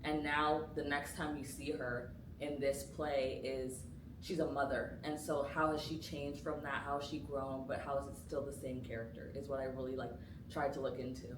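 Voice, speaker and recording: Young actress rambling w lots of "like", roomy sound, mic pretty far, noisy bg. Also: female voice, rumbling low, flat delivery, normal speed. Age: young adult. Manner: chatty, lots of "like" fillers. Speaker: student actor. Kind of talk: casual chat about rehearsals.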